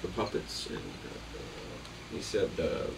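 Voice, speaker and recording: A man's voice: extremely low pitch, sing-song, slow, gravelly. A man chatting casually, with a loose, teasing and conversational manner. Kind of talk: casual chat, joking banter. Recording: noisy, distant mic.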